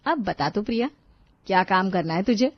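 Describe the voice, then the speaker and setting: A woman's voice: medium pitch, lively intonation, moderate pace, dry recording, lo-fi sound, natural voice. A woman voicing an easygoing, pleasure-loving elder sister-in-law in a storybook tale, casual and teasing. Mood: lazy, teasing.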